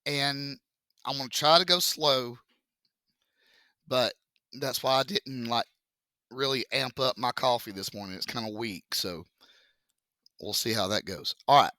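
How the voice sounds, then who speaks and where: A man's voice: mid-range voice, bright, forceful tone, dry recording, studio quality. Joking teacher man with a froggy voice. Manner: joking, chatty, slow step-by-step explaining. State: deep froggy voice from a cold. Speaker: math teacher. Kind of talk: casual classroom lesson video.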